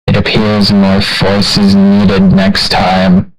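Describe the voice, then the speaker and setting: A man voicing a cold, all-seeing video game villain who speaks in a measured, formal, condescending and menacing manner. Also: deep voice, completely flat delivery, rough recording. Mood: stern threat. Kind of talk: video game character voicelines.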